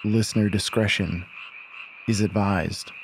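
A man narrating a scripted horror audio drama like a folksy storyteller, in a deliberate way. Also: fairly low pitch, steady tone, a little hiss or hum, rough recording.

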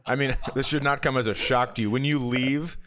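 A man's voice: mid-range voice, steady tone, fairly quick, a bit bright. A man co-hosting a comedy podcast in casual, teasing banter, joking and swearing freely.

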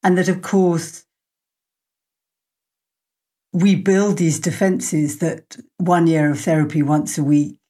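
Low-voiced woman musing thoughtfully. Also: a little mellow, slightly rough. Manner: reflective, speaks in long linked thoughts. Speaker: podcast host. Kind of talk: thoughtful conversational interview.

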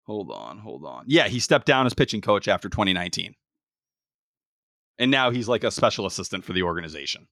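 A man's voice: fairly low pitch, big pitch swings, fairly quick, a bit bright, no room sound, clean studio sound. A man in his twenties co-hosting a casual sports podcast, ranting heatedly with plenty of swearing and fillers. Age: 20s. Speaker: podcast co-host.

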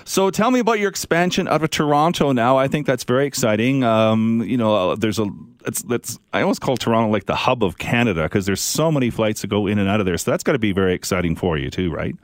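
A man hosts a travel podcast, keeping it upbeat and conversational with the odd "uh" as he asks questions. His voice is mid-pitched and sounds dry and close on a studio mic. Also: expressive, fast talker, hardly any pauses, no echo. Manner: upbeat, conversational, with some fillers. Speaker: podcast host.

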